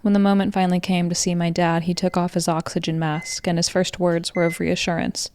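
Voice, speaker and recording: An American woman in her twenties reads a scripted first-person story in a measured, reflective way, as a narrator. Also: on the low side, little pitch movement, darkish tone, no room sound. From Colorado, United States. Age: 20s. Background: music.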